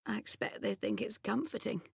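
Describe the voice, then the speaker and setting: An actress playing a bitter, grieving mother of a slain soldier, speaking in a halting, sorrowful way in a video game drama. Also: mid-range voice, huge pitch swings, gravelly, slightly rough, dry recording, studio quality, heavily acted. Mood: quiet grief.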